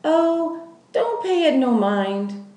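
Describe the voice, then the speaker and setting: A gentle, timid old farm wife from a storybook, voiced by a female reader, with a high, trembling voice and homespun grammar. It sounds slow and a little echoey. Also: sing-song, exaggerated voice. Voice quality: tremulous, shaky. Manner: folksy, ungrammatical rural speech. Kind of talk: children's storybook character voice. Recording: echoey room.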